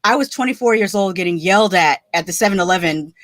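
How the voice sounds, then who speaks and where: A woman's voice: mid-range voice, animated, quick pace, no room sound, studio quality. A woman in her forties, a movie reviewer chatting on a livestream, telling stories and laughing often. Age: 40s. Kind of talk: casual livestream chat.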